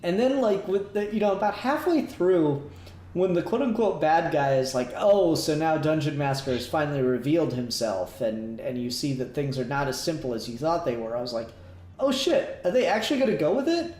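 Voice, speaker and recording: Male podcast host, young, fairly high voice, casual emphatic review chat, echoey room. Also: animated, fairly quick, darkish tone. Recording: roomy home recording with faint noise.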